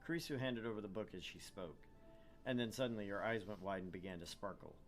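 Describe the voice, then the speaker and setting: A man's voice: fairly low pitch, quick pace, faint music behind. A man reading a visual novel aloud as narrator, dropping in wry, joking casual banter. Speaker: let's play host narrating a visual novel.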